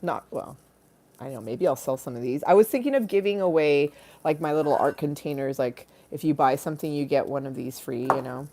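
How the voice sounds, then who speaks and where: A woman with a slightly low, dark and mellow voice chats to her live stream. She rambles comically from aside to aside with a quirky, theatrical streak. Her manner is giggly and bawdy, with casual swearing. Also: fairly quick, a little hiss or hum. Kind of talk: rambling comic livestream chat.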